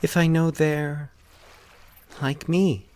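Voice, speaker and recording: Male narrator as a shy lonely woman, high-pitched, soft, hesitant, eerie, horror audio story. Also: steady tone, takes it slowly. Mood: shy, wistful.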